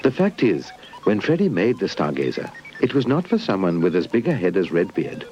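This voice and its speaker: A British man narrating a children's story in an animated, expressive storybook style. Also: sits low, a little fast. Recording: dull lo-fi VHS tape transfer. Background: music.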